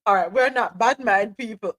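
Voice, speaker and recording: A woman's voice: medium pitch, moderate pace, a bit bright, no echo. A woman with a Jamaican accent hosting a live radio chat show, reading articles aloud and laughing as she slips into patois. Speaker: host.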